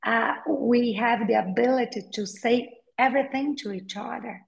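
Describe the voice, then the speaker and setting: A middle-aged Brazilian woman with a Portuguese accent, speaking English as a second language, talking as an interview guest and pausing often with "uh" and repeated words. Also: on the high side, creaky, low-quality recording. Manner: hesitant, with frequent "uh" pauses and repeated words. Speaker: guest, attorney and novelist. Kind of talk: conversational interview.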